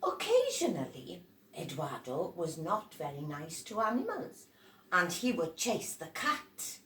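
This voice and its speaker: A woman reading a children's storybook aloud in a dramatic, animated way, stressing key words. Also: fairly low pitch, measured pace, slight background noise. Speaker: storybook reader. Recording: roomy echo, distant from microphone.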